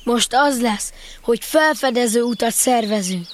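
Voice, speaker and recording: Woman playing a high-voiced confident little boy. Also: slight background noise, natural voice. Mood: know-it-all. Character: confident, bossy, fond young boy adventurer. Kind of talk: children's audio drama acting.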